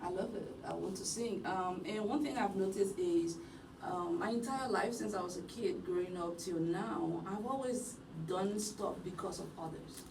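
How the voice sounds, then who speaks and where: Woman, radio guest, chatty, gravelly, distant mic, background noise. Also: mid-range voice, moderate pace, echoey room. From Liberia. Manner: chatty, laughs often, animated storytelling. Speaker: guest singer. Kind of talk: casual radio interview. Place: radio studio.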